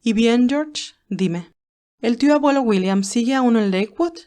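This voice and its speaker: A woman's voice: on the high side, animated, unhurried, warm tone, pitched above the performer's own voice. A woman voicing a severe, imperious elderly matriarch in an audiobook, curt, formal and commanding. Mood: stern, commanding. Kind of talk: audiobook character dialogue.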